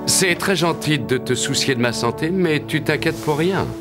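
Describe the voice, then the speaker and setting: An actor playing a brave, courteous young soldier in an animated tale, polite and assured. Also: male voice, a little high, normal speed, over music, lightly acted. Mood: hopeful, courteous. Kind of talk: animated film acting.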